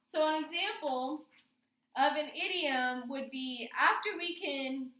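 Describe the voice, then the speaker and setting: A woman teacher explaining a lesson to her pupils, often pausing to check in with questions. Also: high voice, pushed and bright. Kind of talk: classroom lesson on figurative language. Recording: roomy echo, distant microphone.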